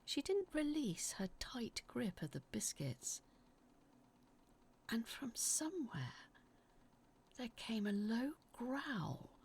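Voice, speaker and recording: A woman reading a scripted first-person horror story in a theatrical, expressive way, as its dramatic narrator. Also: on the high side, slow, pauses often, slight background noise.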